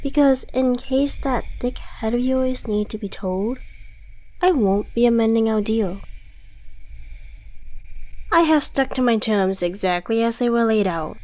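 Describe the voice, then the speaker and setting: A centuries-old devil, played by a woman, speaks in a fairly high voice with theatrical polish. The delivery is suave and condescending, taunting the listener with mock friendliness and quiet menace. It is a scripted ASMR roleplay monologue. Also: a little slow, long pauses, natural voice. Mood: quietly menacing.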